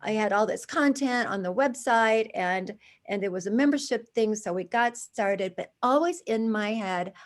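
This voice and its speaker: Woman, 60s, interview guest; animated storyteller who restarts and adds asides. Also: medium pitch, normal speed. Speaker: guest, charity founder. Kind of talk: conversational interview.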